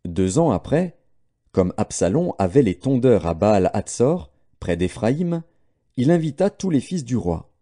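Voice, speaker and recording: A man reading scripture aloud as scripted audiobook narration, with a dark, mellow tone. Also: medium pitch, sing-song, a little slow. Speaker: audiobook Bible reader.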